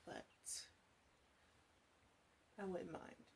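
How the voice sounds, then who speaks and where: Slow, soft-spoken flirty witch played by a woman. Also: background noise. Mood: teasing, candid. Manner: soft-spoken, hesitant, often dropping into whispers. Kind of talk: ASMR roleplay.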